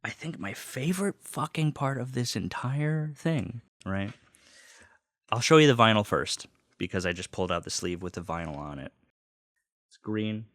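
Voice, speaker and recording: A man with a lowish voice talks casually to his viewers in a dry-sounding recording. He gushes with open enthusiasm, repeats phrases for emphasis and swears freely as he shows off something he loves. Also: expressive, normal speed, fairly bright tone, no room sound. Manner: gushing, enthusiastic fan talk with repetition and swearing. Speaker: host of a personal video series. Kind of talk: casual unboxing chat.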